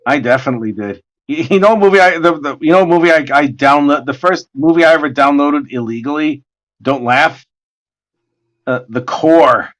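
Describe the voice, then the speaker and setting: Hoarse man, bright high voice, jokey and excitable, casual stream host. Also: normal speed. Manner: jokey, excitable, swears freely. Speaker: artist hosting a livestream. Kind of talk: casual chat while drawing.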